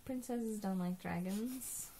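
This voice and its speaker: A woman's voice: medium pitch, takes it slowly, mellow, slight background noise. A young woman chatting casually in a small bedroom, dry and teasing in the way she talks. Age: young adult. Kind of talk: casual chat. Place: small bedroom, lying in bed.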